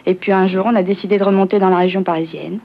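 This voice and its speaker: A woman's voice: medium pitch, steady tone, rapid-fire, creaky. Woman from france chatting on a tv show, short friendly answers, muffled old audio. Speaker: show guest, singer's wife. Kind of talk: casual talk-show chat. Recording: dull broadcast audio with faint noise.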